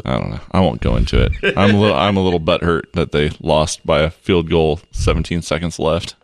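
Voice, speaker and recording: A man's voice: extremely low pitch, a little fast, gravelly, no room sound, studio quality. A man in his forties hosting a casual chat, laid-back and swearing now and then. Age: 40s. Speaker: podcast host.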